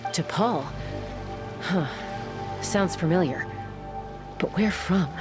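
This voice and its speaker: A tough, protective gunslinger from a video game. Her voice is measured and cool, with a quiet, drawling menace, over clear music. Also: on the low side. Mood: pondering. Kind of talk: video game character acting.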